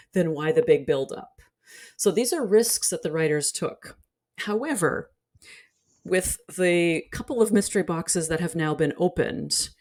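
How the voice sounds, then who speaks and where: A woman with a distinctly low-pitched voice talks through her analysis conversationally. She is articulate and explains her reasoning as a commentator would. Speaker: guest scholar and commentator.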